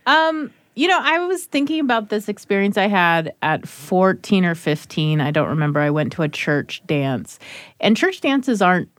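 A woman producer chatting around a radio studio desk, animated and laughing as she recounts a story. Her voice sits at a middle pitch, and the sound is dry with no room echo. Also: moderate pace. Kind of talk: casual chat.

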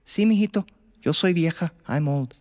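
Aged bilingual grandma, played by a male storyteller, Spanish and English, playful, frank, higher-pitched. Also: moderate pace, a little mellow, close to an everyday voice. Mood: playful, resigned. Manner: switches between Spanish and English. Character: very old Spanish-speaking grandmother, playful, matter-of-fact about death. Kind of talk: live oral storytelling.

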